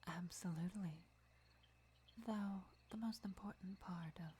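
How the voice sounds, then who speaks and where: A woman playing a gentle, devoted vampire lover who teaches patiently in a breathy, measured whisper for an ASMR roleplay. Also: on the low side. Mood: calm, instructive. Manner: measured, deliberate, soft-spoken explaining.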